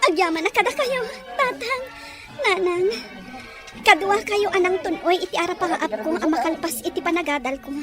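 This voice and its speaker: A woman's voice: extremely high pitch, moderate pace, music in the background. A woman acting a dutiful young graduate, hurt yet kind, in an audio drama with an Ilocano accent. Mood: happy, grateful.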